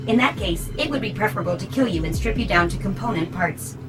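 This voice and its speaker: A woman's voice: mid-range voice, lively intonation, fast talker, lightly acted. A woman playing a polite, coldly logical servant robot in an audio drama, speaking formally and precisely. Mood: politely menacing. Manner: formal, precise, matter-of-fact. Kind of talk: scripted audio drama acting. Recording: music behind the voice.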